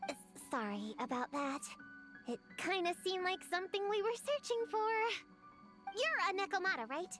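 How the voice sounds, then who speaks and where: A woman's voice: very high voice, sing-song, moderate pace, over music. Bossy know-it-all little witch. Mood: earnest. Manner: earnest, bossy, lecturing. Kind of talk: game voice acting.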